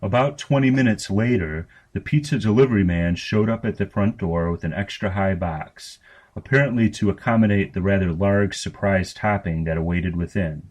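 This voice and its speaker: A man reading fiction aloud as scripted narration, in a matter-of-fact, deadpan manner. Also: deep voice, normal speed, low-quality recording. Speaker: story reader.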